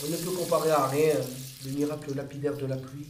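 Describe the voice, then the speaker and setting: A man reciting a poetic dramatic monologue in a measured, deliberate way, with pauses between phrases. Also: on the high side, fairly even intonation, darkish tone. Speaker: monologue performer. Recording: roomy, distant, noisy with water-like hiss.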